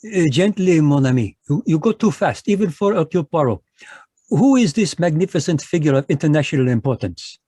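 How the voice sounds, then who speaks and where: An actor playing a fussy, precise Belgian detective in a 1940s-style radio play, with a put-on French accent, courtly and lecturing, dropping French words. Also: male voice, fairly high pitch, animated, fairly quick, warm tone, husky, no room sound, studio quality. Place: home, live video call.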